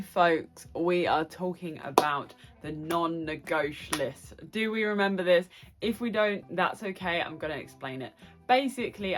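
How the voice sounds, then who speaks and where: A woman hosting a chatty book vlog, speaking playfully and effusively with dramatic asides. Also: on the low side. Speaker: book review vlogger and host. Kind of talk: chatty solo vlog to camera.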